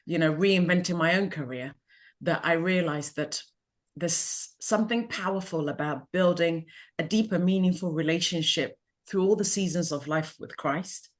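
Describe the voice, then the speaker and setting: Middle-aged woman from Ghana, low-ish voice, measured and reflective interview guest. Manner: calm, measured, thoughtful. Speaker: interviewee, life coach.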